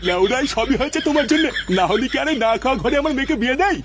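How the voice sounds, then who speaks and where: Casting: fussy old village grandad for comic cartoon, very high pitch, rapid delivery. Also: male voice, expressive, a loud crowd. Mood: grumpy, scornful.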